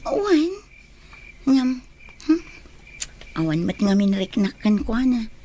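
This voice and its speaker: A high-voiced young Filipino woman played by an actress, heartsick yet polite, dodging questions in radio drama style. There is clear noise behind her. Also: very animated, slow, warm tone. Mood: friendly, reserved. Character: troubled young single woman hiding heartache, evasive and polite. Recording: noticeable background noise.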